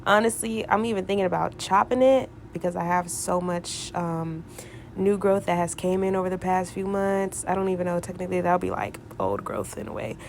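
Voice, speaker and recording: A young woman with a stuffy, cold-thickened voice, doing a relaxed, casual voiceover. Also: lowish voice, normal speed, slight background noise. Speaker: beauty vlogger.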